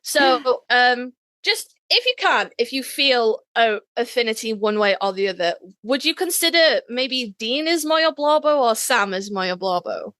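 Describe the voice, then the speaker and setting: A woman's voice: fairly high pitch, expressive, a little slow, few pauses, a bit bright, dry recording. A woman co-hosting a casual chat, putting questions to a guest in informal language. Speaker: podcast co-host.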